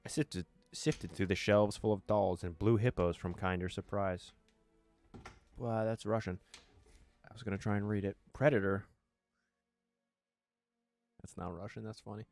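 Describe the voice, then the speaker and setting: Low-voiced man playing and reading a game. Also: fairly even intonation, unhurried, pauses often, a little mellow, soft background music. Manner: stumbles over words while reading, self-correcting. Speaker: gamer reading a game aloud with commentary. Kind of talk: casual let's play, reading narration aloud and reacting.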